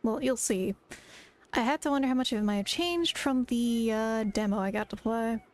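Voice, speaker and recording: Chatty woman streamer, higher pitch. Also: normal speed, a little mellow. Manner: rambling, chatty, talks to chat. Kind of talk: casual live-stream chat.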